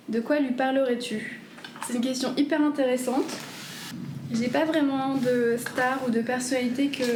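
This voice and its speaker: A woman in her thirties chatting casually at home as she answers viewer questions, gentle and hesitant. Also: a little high, roomy sound, noisy background. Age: 30s. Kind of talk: casual FAQ chat.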